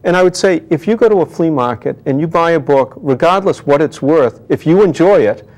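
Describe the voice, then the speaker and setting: A man's voice: on the high side, expressive, fairly quick, a little mellow. A man talking as a book dealer in an interview, explaining his trade conversationally with everyday examples.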